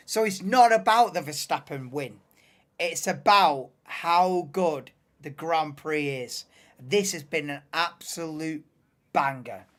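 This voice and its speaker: A woman hosting a live race commentary stream, excitable and bursting into shouts and laughs, her voice going hoarse. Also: on the low side. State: losing voice, hoarse.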